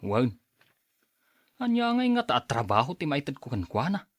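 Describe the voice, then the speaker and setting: A man's voice: medium pitch, wildly swooping pitch, moderate pace, no echo, clean studio sound. A man playing an irritable, grudging younger brother with an office career in an Ilocano radio drama. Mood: annoyed.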